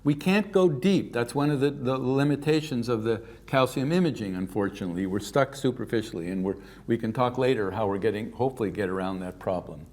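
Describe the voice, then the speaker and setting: An older man from Canada giving a keynote science lecture, lively and informal, with offhand asides. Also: medium pitch, brisk, hardly any pauses. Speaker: keynote speaker.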